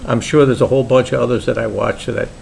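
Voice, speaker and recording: An older man with a Brooklyn accent, talking casually and haltingly about his hobby in a home vlog, with many pauses and restarts. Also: mid-range voice, steady tone, creaky, a little mellow, slight background noise. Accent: New York (Brooklyn). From Brooklyn, New York. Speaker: pipe maker vlogger.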